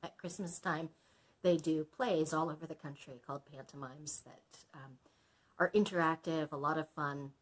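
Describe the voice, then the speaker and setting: A woman's voice: low voice, fairly even intonation, normal speed. A woman librarian reading a story aloud expressively, breaking off to explain things in chatty asides.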